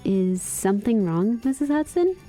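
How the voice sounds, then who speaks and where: A woman's voice: fairly high pitch, sing-song, moderate pace, mellow, faint music behind. A woman playing a kind, sensible young sweetheart with a wounded heart in a radio drama. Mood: cheerful, then concerned. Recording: clear background noise.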